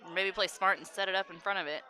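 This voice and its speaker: A woman's voice: mid-range voice, fairly even intonation, fast, sharp tone, low-quality recording. A woman giving casual, chatty live commentary on a pool match and answering viewers, laughing easily. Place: loud pool hall with a crowd. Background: crowd chatter.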